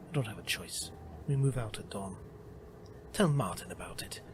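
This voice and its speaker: Hushed, resigned soldier played by a man. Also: on the low side, animated, normal speed, very noisy, natural voice. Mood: hurt resignation. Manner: quiet, subdued. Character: hushed, resigned male soldier leaving his lover. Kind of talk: audio drama acting.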